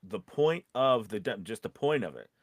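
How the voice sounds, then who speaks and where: A man's voice: medium pitch, big pitch swings, moderate pace, vocal fry, no echo, studio quality. A man co-hosting a casual livestream chat, speaking in an informal, enthusiastic way. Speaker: host.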